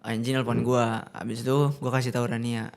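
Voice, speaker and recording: A man, a podcast guest telling stories in casual chat, animated and laughing often. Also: mid-range voice, a little fast, creaky, slight background noise.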